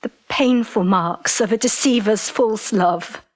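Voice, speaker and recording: A woman's voice: medium pitch, measured pace, close to an everyday voice, low-quality recording. A woman playing a devoted, playful, possessive British lover in an audio drama, speaking tenderly. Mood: tender, earnest.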